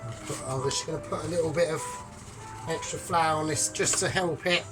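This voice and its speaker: A man's voice: on the high side, fairly even intonation, fairly quick. Chatty man explaining cooking steps. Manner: chatty step-by-step explaining, joking asides. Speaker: home cook. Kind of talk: casual cooking tutorial. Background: music.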